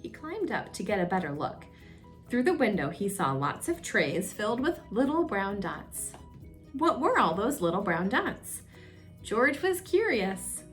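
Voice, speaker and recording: A woman's voice: medium pitch, big pitch swings, unhurried, a bit bright, faint music behind. A woman reading a children's storybook aloud as a story time host, expressively, drawing out suspenseful phrases.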